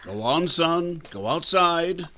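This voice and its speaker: Actor as firm, animated small-town doctor. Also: male voice, on the high side, slow pace, a loud crowd. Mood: stern, shocked. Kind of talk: 1940s radio acting.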